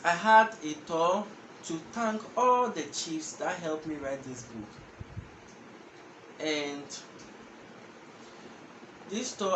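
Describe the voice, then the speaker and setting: A man's voice: high voice, a bit bright. Chatty young Ghanaian man teaching. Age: young adult. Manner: chatty, repeats words, explains step by step. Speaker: language teacher and channel host. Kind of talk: casual direct-to-camera lesson. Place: indoor room with patterned wall. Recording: echoey room with background noise.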